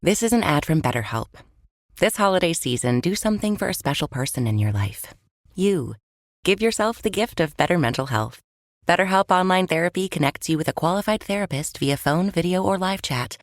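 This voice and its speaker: A woman's voice: on the low side, lively intonation, fairly quick, no echo, studio quality. A woman announcer delivering a scripted podcast advertisement.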